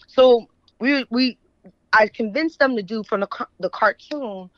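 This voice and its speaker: A woman's voice: a little high, measured pace, no room sound, highs cut off. A woman from Philadelphia with an American accent, telling stories casually as an interview guest and often checking in with the listener. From Philadelphia, USA. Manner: conversational, often checks in with "right?". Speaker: interview guest, author and editor.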